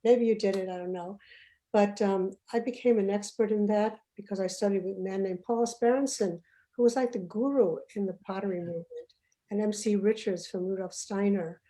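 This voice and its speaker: Mellow-voiced woman hosting a video panel. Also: medium pitch, fairly even intonation, a little fast, poor audio. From Uganda. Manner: chatty, trailing off and restarting sentences. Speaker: panel host. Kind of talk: casual online panel talk. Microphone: video call.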